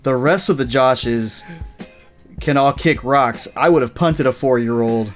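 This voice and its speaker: A man's voice: mid-range voice, unhurried, over music. A man joking casually as a podcast co-host.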